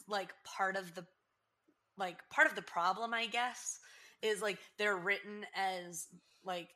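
A bright, forward voice from a young woman in her twenties, hosting a casual podcast. She rambles through her thoughts with lots of "like" fillers and breaks into quick excited bursts. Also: mid-range voice. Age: 20s. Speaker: podcast host. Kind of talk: casual chat recapping a TV episode.

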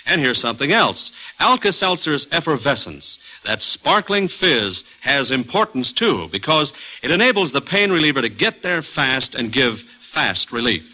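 A man's voice: medium pitch, big pitch swings, normal speed, pushed and bright, heavily acted, poor audio. A man playing a genial airline pilot in a scripted mid-century radio commercial, with a hearty, emphatic salesman's delivery. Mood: confident selling. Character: genial adult male airline pilot captain.

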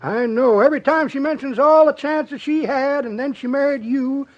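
High-pitched, folksy old-timer. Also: male voice, normal speed. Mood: teasing. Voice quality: high, thin old man's voice. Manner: folksy, drawling colloquial grammar. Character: teasing elderly grocery-delivering old-timer with weak teeth. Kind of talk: 1950s radio comedy acting. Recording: muffled vintage radio recording.

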